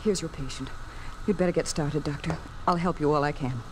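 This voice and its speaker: A woman's voice: deep voice, fairly quick, lightly acted. An American woman acting an overworked, desperate yet dutiful nurse in a 1950s radio drama, earnest and urgent. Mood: resigned, dutiful. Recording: noisy old 1950s radio recording.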